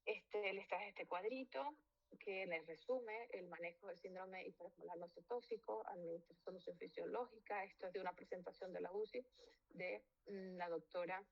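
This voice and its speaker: A woman physician from Venezuela walks students through a medical lecture, talking loosely and correcting herself along the way. Her voice has a gravelly, fried edge at a middling pitch. The recording sounds dull and lo-fi. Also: moderate pace. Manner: informal, with fillers and self-corrections.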